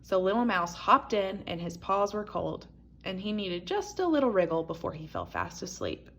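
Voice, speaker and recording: A woman reading a children's picture book aloud as an expressive storyteller. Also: medium pitch, normal speed, creaky, a little hiss or hum.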